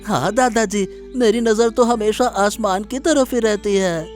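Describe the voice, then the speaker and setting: A performer voicing an excitable young village grandson full of wonder in a cartoon story, eagerly repeating words. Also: mid-range voice, measured pace, faint music behind, natural voice. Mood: eager, curious. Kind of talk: Hindi cartoon story dialogue.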